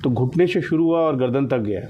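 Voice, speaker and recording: A man's voice: mid-range voice, animated, a little fast, warm tone, slight background noise. A man, a doctor being interviewed, explaining hesitantly and mixing in English terms. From India.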